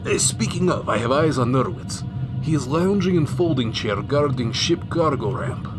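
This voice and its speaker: An actor playing an eager, excitable male cargo hand on a space station who speaks broken English with dropped articles. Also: mid-range voice, normal speed, music in the background, lightly acted. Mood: boastful, playful.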